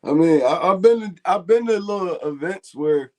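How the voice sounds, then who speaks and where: A man's voice: high voice, lively intonation, moderate pace. Laid-back young Philly man. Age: 20s. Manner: laid-back, slangy, laughs often. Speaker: co-host of a fan call-in show. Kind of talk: casual chat.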